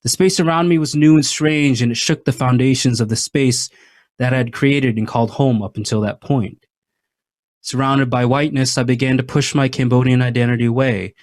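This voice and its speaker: A young man from Maine speaks at a storytelling event, slow and reflective, letting pauses hang between phrases. His voice sits at a middling pitch. The sound is dry and close on a stage microphone. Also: steady tone, no room sound. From Maine, United States. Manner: measured and reflective, with deliberate pauses. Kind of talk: prepared personal storytelling talk.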